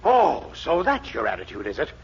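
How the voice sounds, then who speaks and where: An actor playing an excitable, blustering theater producer in a 1940s radio comedy, flustered and exclamatory, on a muffled vintage recording. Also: male voice, a little high, moderate pace, slightly rough, full character voice. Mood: outraged. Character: excitable, blustering male theater producer, easily outraged or overjoyed.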